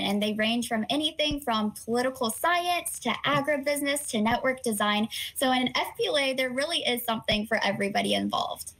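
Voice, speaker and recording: A young woman whose first language is Korean speaks in a fairly high voice. She answers interview questions earnestly and often pauses on fillers. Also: fairly bright tone, rough recording. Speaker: student organization president, guest.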